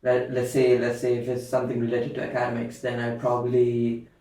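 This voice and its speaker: A man from India with an Indian English accent, a guest on a casual panel chat, talking haltingly with many fillers as he searches for words. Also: mid-range voice, completely flat delivery, warm tone. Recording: very echoey room, mic far off.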